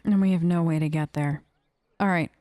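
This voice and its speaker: A woman with a clear, smooth voice plays through a game as its host. She improvises her role casually and conversationally, close on the mic with hardly any room sound. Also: sits low, fairly even intonation, normal speed, warm tone, no echo. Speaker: gaming host. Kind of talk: casual role-play let's play commentary.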